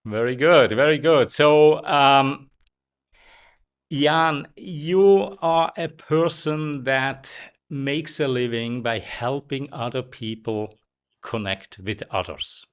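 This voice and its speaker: A man hosting a podcast, asking his guest questions in an upbeat, deliberate way. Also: on the high side, lively intonation. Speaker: podcast host. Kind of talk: interview questions.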